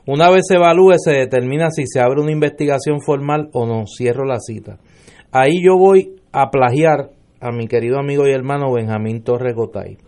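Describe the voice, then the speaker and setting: A man's voice: mid-range voice, moderate pace, darkish tone. A man with a Puerto Rican accent giving sardonic political commentary on a talk show panel.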